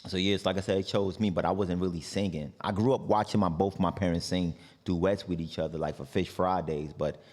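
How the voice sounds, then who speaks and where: A man's voice: low voice, fairly quick, few pauses, big-room sound. A man from New York with a New York accent, a singer guest in a casual interview, talking loosely with many fillers like "like" and "you know". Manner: relaxed, rambling, lots of "like" and "you know".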